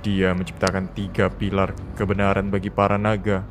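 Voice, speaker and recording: A man's voice: deep voice, monotone, moderate pace, close to an everyday voice. A man voicing the benevolent soul of an ancient dragon god, telling its story solemnly in a Minecraft roleplay. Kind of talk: dramatic scripted narration in Minecraft roleplay. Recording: music behind.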